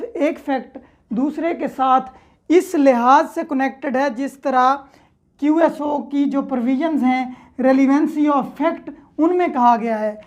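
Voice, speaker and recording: A Pakistani-accented woman speaks in a clearly high voice. She teaches law in an explanatory lecture style, alternating formal reading with plain, example-led explanation. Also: darkish tone. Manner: reads clauses aloud, then explains with simple examples.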